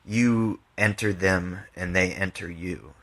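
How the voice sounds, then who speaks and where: A man with a fairly low but bright, forward voice hosts a poetry podcast. He takes his time, with a very unhurried pace, and comes across as informal and candid, somewhere between reading verse and chatting about it. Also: fairly even intonation, low-quality recording. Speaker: podcast host and poet. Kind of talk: reading own poems with casual commentary.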